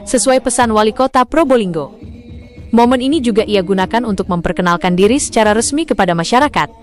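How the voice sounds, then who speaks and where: Female news voice-over, a bit high and smooth, faint music underneath. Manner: smooth, even reading. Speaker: news narrator. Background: background music.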